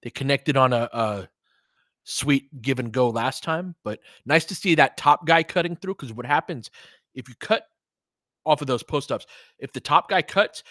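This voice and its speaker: A man's voice: medium pitch, fairly bright tone, no echo, studio quality. A man doing casual basketball play-by-play as a stream host, in clipped phrases with chatty asides to listeners.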